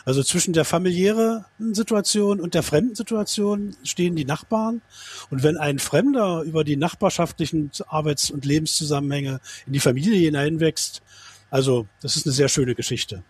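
A man giving measured, analytical commentary as a guest, reflective and explanatory. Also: on the high side, a little mellow, breathy edge.

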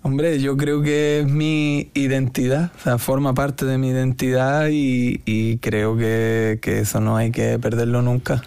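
A man with a Cádiz Andalusian accent talking casually as an interview guest, halting and searching for words. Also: medium pitch, little pitch movement, vocal fry, darkish tone, smooth, dry recording. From Andalusia, Spain. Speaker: guest musician.

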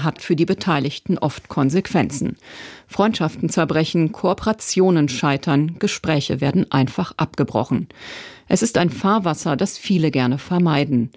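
A woman narrating a news report in a measured, even reporting voice. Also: deep voice, dry recording, studio quality. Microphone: studio voice-over mic.

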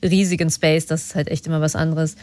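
A woman's voice: low voice, level tone, fast talker, a little mellow. A woman co-hosting a radio talk show, informal and friendly as she invites callers. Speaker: radio co-host.

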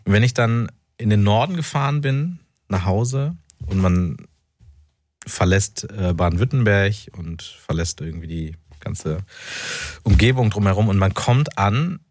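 A man's voice: on the low side, animated, unhurried, darkish tone, no echo. A man from North Rhine-Westphalia, an author speaking reflectively as a radio interview guest, often searching for words. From North Rhine-Westphalia, Germany. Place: radio studio.

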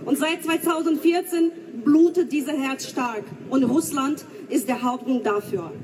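High-voiced woman speaking outdoors. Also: fairly even intonation, unhurried, a crowd in the background. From Crimea. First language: Russian. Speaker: activist. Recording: distant mic with loud street noise.

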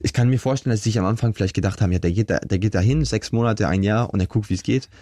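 A man's voice: lowish voice, rapid-fire, dark tone, no echo, studio quality. A young man, an interview guest, telling his life story earnestly and reflectively, with frequent fillers and restarts. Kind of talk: casual conversational storytelling.

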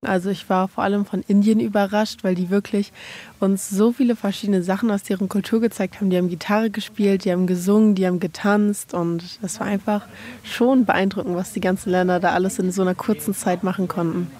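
A woman's voice: mid-range voice, level tone, a little fast, warm tone. A teenage girl answering interview questions casually. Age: teens.

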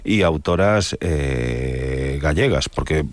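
A man speaks in a very low, deep voice, explaining at length as a guest. He pauses and restarts his phrases as he thinks. The sound is dry and close. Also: expressive, no echo. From Galicia. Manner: thoughtful, explanatory, frequent restarts. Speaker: publisher guest. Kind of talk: radio interview answers.

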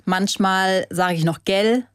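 A woman's voice: medium pitch, steady tone, takes it slowly, clean tone. A young woman from Hesse, chatting cheerfully as a radio interview guest. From Hesse, Germany. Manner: cheerful, chatty, with little laughs. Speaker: guest, table football world champion.

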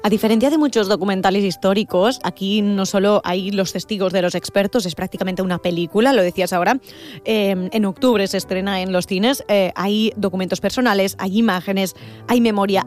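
A woman with a Spanish accent hosts an interview. Her voice sits at a middle pitch and she speaks conversationally as she leads into questions. Music plays behind her. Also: fairly even intonation, normal speed, darkish tone. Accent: Spain. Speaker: interviewer, radio or podcast host.

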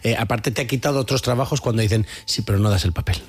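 A man's voice: mid-range voice, fast talker, breathy edge. A man hosting a radio interview, asking long rambling questions full of restarts.

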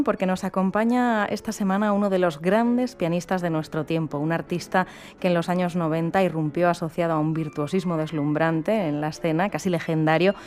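A woman's voice: on the low side, a little mellow. A woman from co-hosting a classical music radio program, delivering polished, prepared introductions and questions. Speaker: radio co-host. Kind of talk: radio interview.

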